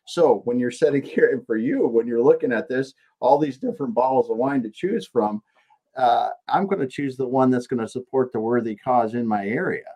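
A man's voice: mid-range voice, moderate pace, dark tone, poor audio. A man hosting a conversational interview, restating and affirming what his guest has said. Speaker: interview host.

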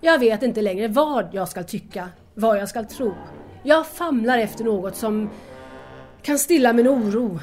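Actress as high-voiced, wounded young woman. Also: expressive, darkish tone, faint music behind, close to an everyday voice. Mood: anxious. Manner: earnest, wounded, formal address. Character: hurt, anxious young woman pleading with estranged lovers. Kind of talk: audio drama dialogue.

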